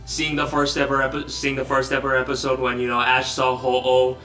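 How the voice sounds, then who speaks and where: A man's voice: medium pitch, monotone, brisk, sharp tone, faint music behind. A young man from Bangladesh, a guest in a casual online interview, joking and self-deprecating as he rambles. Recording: roomy echo, distant from mic.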